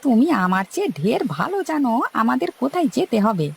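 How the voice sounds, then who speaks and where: A woman voicing an eager, deferential male boatman's helper in a cartoon, creaky, with a questioning, folksy manner. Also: high-pitched, very animated, fairly quick, full character voice. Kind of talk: cartoon story dialogue.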